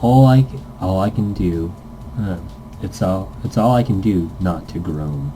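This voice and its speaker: A man's voice: low voice, measured pace, background noise. A man casually reading a visual novel game aloud, stumbling over lines and tossing in goofy asides.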